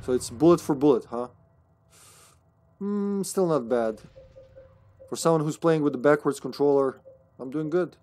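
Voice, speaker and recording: A man's voice: fairly high pitch, normal speed, pauses often, mellow, slight background noise. A man in his thirties with a Serbian accent, joking and laughing through casual live gameplay commentary. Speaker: streamer.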